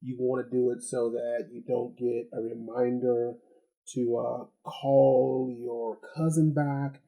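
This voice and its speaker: A man's voice: medium pitch, little pitch movement, takes it slowly, vocal fry, dark tone, low-quality recording. A man giving a casual, unscripted product review, rambling and repeating words as he goes, with chatty rhetorical asides. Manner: chatty, rhetorical asides and check-ins like "right?". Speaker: gadget review host.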